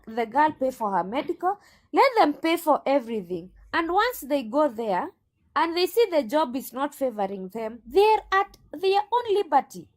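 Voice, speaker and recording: Brief: woman agent under questioning, high voice, firm, sassy comebacks. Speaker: recruitment agent. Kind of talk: defensive interview answers.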